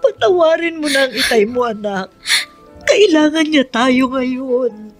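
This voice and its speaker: A gentle, high-voiced Filipina mother. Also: animated, measured pace, faint music behind. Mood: pleading. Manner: gentle, pleading. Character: patient, forgiving long-suffering wife and mother. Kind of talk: Filipino radio drama acting.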